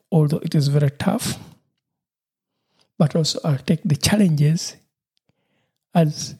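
A man with a Ugandan accent and Lusoga as his first language talks through his solo podcast. His voice is dark and slightly husky, and he keeps a cheerful, laughing tone while circling back over his points. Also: a little high. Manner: cheerful, laughs often, repeats himself. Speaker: podcast host.

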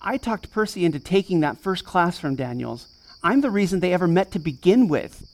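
Female advocate, low pitch, vocal fry, earnest, wry, protective, naturalistic podcast drama. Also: very animated, brisk, darkish tone. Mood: subdued, guilty. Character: Feeny, devoted, self-blaming advocate with protective fire. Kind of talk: naturalistic fiction podcast acting.

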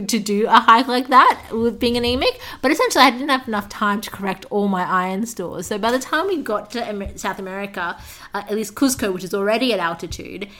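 A young adult woman speaks at a middle pitch in casual podcast conversation. She tells her story as a host would, chatty and loosely structured, with self-deprecating humour. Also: a bit bright. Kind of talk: casual chat, telling a travel anecdote.

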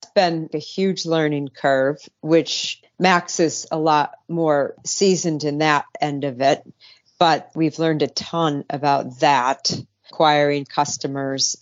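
A woman with a very low voice speaks conversationally as an interview guest. The sound is dry, with no room echo, and slightly dull. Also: steady tone, slow. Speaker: company co-founder, interview guest. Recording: dry but dull, lo-fi audio.

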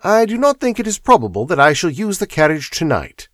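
A man voicing a cool, dryly amused detective with a crisp, offhand delivery. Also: fairly high pitch, big pitch swings, fairly quick, fairly bright tone, dry recording, clean studio sound, voice pushed up. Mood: aloof. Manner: crisp, offhand, slightly lofty.

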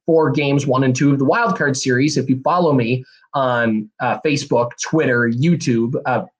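A young man, hosting a solo podcast in a rambling, energetic way, a bit hoarse and nasal from a cold. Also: medium pitch, moderate pace. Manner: rambling, energetic, many fillers and restarts. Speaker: podcast host. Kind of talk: casual solo podcast talk.

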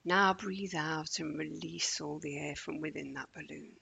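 Breathy, creaky-voiced woman guiding meditation. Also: low-pitched, fairly even intonation. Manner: gentle, measured, deliberate pauses. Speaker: meditation guide. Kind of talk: guided relaxation for children, slow and soothing.

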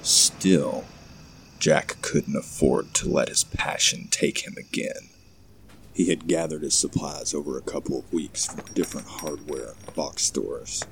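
A man narrating a scripted horror story in a gravelly, ominous, measured way. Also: rumbling low, a little hiss or hum, rough recording.

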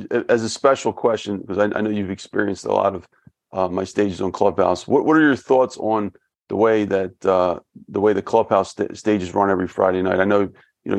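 Podcast host, male, Philadelphia accent, gravelly low voice, halting repeats, dry sound. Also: no echo. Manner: halting, repeats words, laughs often. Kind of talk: casual interview.

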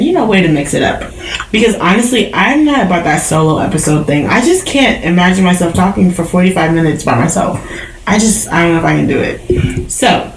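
A woman's voice: on the low side, a little hiss or hum. Playful woman podcast host, roomy sound. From Jamaica. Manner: playful, laughing, teasing. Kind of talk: casual chat. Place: bedroom.

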